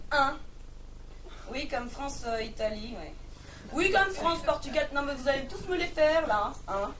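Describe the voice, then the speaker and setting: A woman performer playing an excitable, indignant, naive woman in a theatrical one-woman comedy monologue, exclaiming melodramatically and repeating her words. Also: high voice. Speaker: comic stage performer. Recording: distant mic, noisy live room recording.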